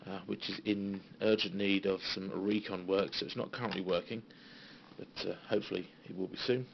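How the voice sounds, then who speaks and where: A British man giving a casual, halting, understated tour of his hobby equipment, as an enthusiast showing viewers around. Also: low voice, flat delivery, vocal fry. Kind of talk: casual informal show-and-tell. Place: small radio room at home. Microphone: handheld phone. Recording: dull phone recording with faint noise.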